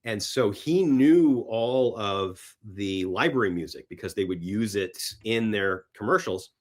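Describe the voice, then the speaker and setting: A man chatting casually and enthusiastically as a podcast guest about films, halting and rambling, sometimes voicing imagined reactions. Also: on the low side, lively intonation. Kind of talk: casual film talk in an interview.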